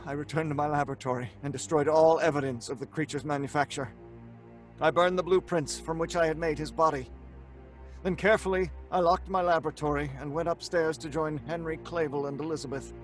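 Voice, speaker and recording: A man's voice: fairly high pitch, steady tone, a little fast, soft background music, lightly acted. An actor playing a haunted, frantic scientist who tells his own tale in old-time radio horror style. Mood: grave, haunted. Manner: melodramatic, stammering when speaking in dialogue. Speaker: narrator and lead actor.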